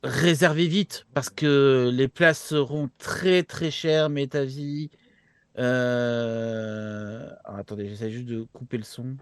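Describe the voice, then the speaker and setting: A man's voice: mid-range voice, fairly even intonation, slow pace, clean tone, no echo. Informal man chatting, dry close sound. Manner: informal, repeats words for emphasis. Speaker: show co-host and columnist. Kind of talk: casual chat, informal announcement.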